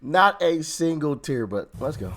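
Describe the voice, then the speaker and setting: Male commentary host, hoarse, sarcastic, casual reaction delivery. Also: on the high side, very animated, measured pace, fairly bright tone. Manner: sarcastic, laughing asides. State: hoarse, losing his voice. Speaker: political commentary YouTuber.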